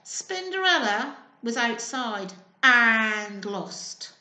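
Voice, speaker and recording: A woman storyteller with a high, bright voice reads to small children. She is expressive and encouraging, asking them questions as she goes. She takes her time, in an echoey room. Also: very slow. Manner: expressive, sing-song, encouraging. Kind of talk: animated children's storytime reading with questions to listeners.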